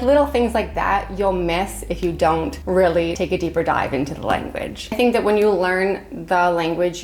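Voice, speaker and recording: A Canadian woman vlogging to camera in a chatty, friendly way, full of "like" fillers. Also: lowish voice. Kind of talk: casual talk to camera.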